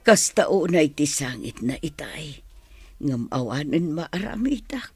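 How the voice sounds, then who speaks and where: Worried elderly mother, Ilocano radio play: husky, plaintive, very expressive intonation. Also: deep voice, unhurried, no room sound, faint music behind, heavily acted. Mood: grieving. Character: sorrowful, worried old village mother. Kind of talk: Ilocano radio drama acting.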